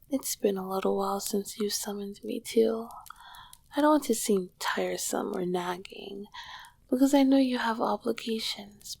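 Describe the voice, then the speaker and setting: Woman playing a breathy, whispering, regal succubus queen. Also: medium pitch, darkish tone. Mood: tender, wistful. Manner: regal, old-fashioned, affectionate phrasing. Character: ancient succubus queen of Hell, tender, regal, flirtatious lover. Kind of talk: whispered ASMR roleplay.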